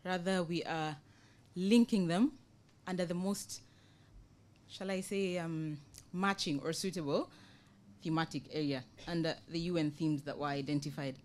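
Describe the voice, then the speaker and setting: A young woman with a Kenyan accent gives an informal panel presentation as an NGO project officer, explaining in a measured way with hesitant pauses. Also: deep voice, a little mellow. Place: conference room, indoors, panel table. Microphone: table microphone on a stand.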